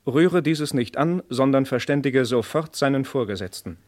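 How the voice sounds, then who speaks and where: A man's voice: mid-range voice, lively intonation, normal speed, a little mellow, dry recording, clean studio sound, close to an everyday voice. A man playing an urgent military messenger reading an official warning in a vintage radio crime drama. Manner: emphatic, official, declamatory.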